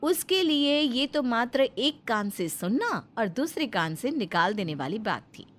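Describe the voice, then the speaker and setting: A woman's voice: fairly high pitch, sing-song, measured pace. A woman storyteller narrating a scripted moral story.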